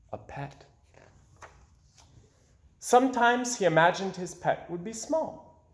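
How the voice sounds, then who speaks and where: A man reading a children's storybook aloud in an expressive style, with dramatic pauses and drawn-out words. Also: medium pitch. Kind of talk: expressive read-aloud narration. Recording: roomy, echoing indoor sound.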